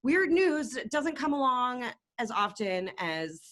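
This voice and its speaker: A woman's voice: sits high, big pitch swings, slow, a bit bright. A young woman co-hosting a casual podcast chat, rambling and filling her talk with "like". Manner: chatty, rambling, uses "like" a lot. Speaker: co-host.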